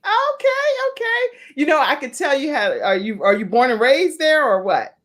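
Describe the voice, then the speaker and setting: A woman's voice: high-pitched, very animated, moderate pace, fairly bright tone. A woman hosting her own talk show, chatty and emphatic, welcoming guests and viewers. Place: virtual studio.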